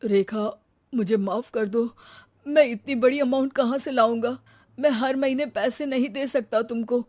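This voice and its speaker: A woman's voice: a little high, measured pace, darkish tone. A woman voicing an arrogant, mocking young husband in a moral story, with a scornful, bossy manner. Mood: pleading. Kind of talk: acted moral-story dialogue.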